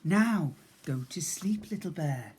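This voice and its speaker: A big fatherly storybook bear, played by a man, patient and a little weary. He coaxes and reassures with emphatic, very expressive intonation. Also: a little high, takes it slowly, a little hiss or hum. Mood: coaxing.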